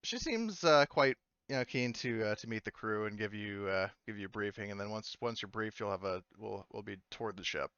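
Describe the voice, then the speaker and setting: Male game master, lowish smooth voice, informal halting narration, dry and slightly dull audio. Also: animated, fairly bright tone, no echo. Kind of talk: improvised narration of a tabletop game.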